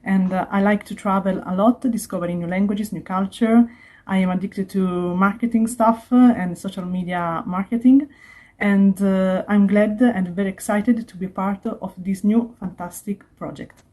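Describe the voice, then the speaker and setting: A chatty project manager. Her tone is mellow and warm, at a middle pitch. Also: steady tone, moderate pace. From Italy. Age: 20s. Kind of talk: recorded self-introduction.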